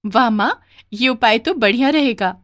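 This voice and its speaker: A woman voicing a sensible, hopeful young daughter who talks earnestly and reassuringly in an animated moral story. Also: fairly high pitch, moderate pace, dry recording, clean studio sound, natural voice.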